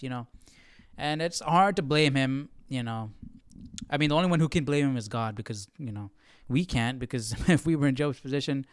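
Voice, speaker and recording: A man's voice: mid-range voice, clean tone, dry recording, studio quality. A man hosting a livestreamed study session, chatting informally with exclamations and repeating himself for emphasis. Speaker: Bible study livestream host. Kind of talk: casual chat commentary.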